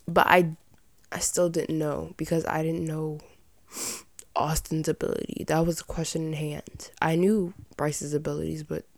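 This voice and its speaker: Slightly raspy young woman, lowish voice, dry sound. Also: level tone, moderate pace, dry recording. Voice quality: slightly raspy with vocal fry at sentence ends. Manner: informal, rambling, opinionated. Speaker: podcast host. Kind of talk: casual chatty solo commentary.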